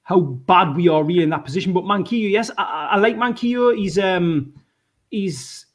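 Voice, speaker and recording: A man with a Geordie accent giving his football opinions in casual podcast chat, animated, often restarting his sentences. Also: high-pitched, normal speed. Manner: animated, self-interrupting, many restarts. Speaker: podcast regular.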